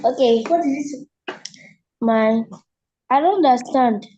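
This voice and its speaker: Hesitant high-voiced young woman student. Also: slow pace, mellow, highs cut off, a little hiss or hum. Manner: hesitant, unsure.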